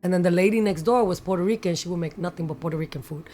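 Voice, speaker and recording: A woman's voice: on the low side, racing, warm tone. A woman, a chatty guest who mixes in Spanish words and has a cold. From New York City. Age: 50s. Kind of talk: casual livestream interview.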